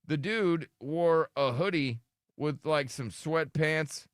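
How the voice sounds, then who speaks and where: A man's voice: fairly high pitch, slow, dry recording, studio quality. A man hosting a radio show, chatting casually and complaining about himself with self-deprecating humor; his microphone sounds thin and tinny. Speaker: radio host. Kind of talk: casual morning radio banter. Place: radio studio. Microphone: studio radio microphone.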